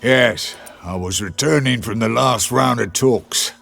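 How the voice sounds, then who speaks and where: An actor playing a hard-bitten guerrilla commander in a game, with a sardonic, clipped delivery. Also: male voice, medium pitch, measured pace, gravelly, fairly bright tone, full character voice. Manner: sardonic, clipped, sometimes florid. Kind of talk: cinematic game voice acting.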